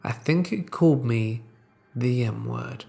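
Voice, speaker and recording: A man reading true horror stories aloud as the host, in a measured, deliberate storytelling manner that drops quieter at tense moments. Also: medium pitch, creaky. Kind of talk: scripted narration of reader-submitted true horror stories.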